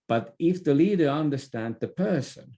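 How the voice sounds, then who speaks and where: A man's voice: fairly high pitch, animated, normal speed, mellow. A man speaking as an expert guest in a podcast interview, explaining in a conversational way and repeating words as he thinks.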